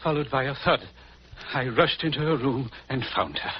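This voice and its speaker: An actor playing an anxious, modest middle-aged country rector, speaking formally and politely in a radio mystery. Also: male voice, mid-range voice, lively intonation, moderate pace, husky. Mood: shaken. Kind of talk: 1940s radio mystery acting. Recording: dull, noisy old radio recording.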